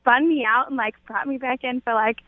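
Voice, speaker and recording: High-voiced young woman on a tinny phone. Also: steady tone, brisk. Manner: giggly, girlish, gushing. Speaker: radio show caller. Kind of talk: casual chat. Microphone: telephone. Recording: narrow tinny phone line.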